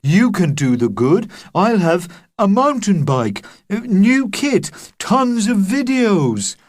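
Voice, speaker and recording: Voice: medium pitch, sing-song, slow pace, voice pushed up. A storyteller voicing an eager, greedy young schoolboy.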